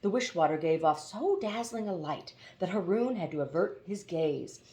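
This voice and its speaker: A woman with a slightly low voice narrates a storybook. Her reading is expressive, with very lively intonation that rises and falls across phrases. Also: moderate pace, echoey room. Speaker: audiobook reader.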